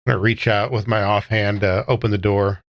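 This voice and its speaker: A man's voice: fairly low pitch, flat delivery, a little fast, no echo, lo-fi sound. A man talking casually as a player in a tabletop role-playing game.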